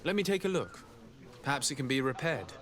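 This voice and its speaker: A man's voice: medium pitch, big pitch swings, brisk, a bit bright. A man playing a courteous, inquisitive young nobleman envoy in video game dialogue, polite, formal and composed. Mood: courteous, concerned.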